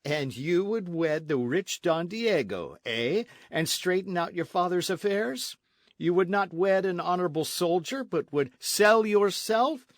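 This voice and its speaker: A man's voice: fairly high pitch, wildly swooping pitch, normal speed, no room sound, studio quality, full character voice. A man voicing an arrogant Spanish colonial army captain in a storybook, pompous, sneering and insistent. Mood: menacing, gloating. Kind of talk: storybook narration with character voices.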